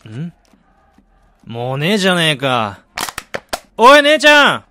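A man's voice: on the high side, huge pitch swings, slow pace, bright, forceful tone, a little hiss or hum, heavily acted. An actor playing a weary, disillusioned man in a radio drama, with a brooding, self-deprecating way of talking. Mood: irritated, shouting. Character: weary, disillusioned man back in his dying hometown. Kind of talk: radio drama first-person narration and dialogue.

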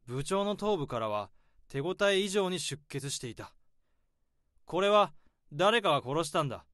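An actor narrating as a weary, overworked male office worker in a radio drama, reasoning things out in a measured way. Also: a little high, big pitch swings, a bit bright, dry recording, studio quality.

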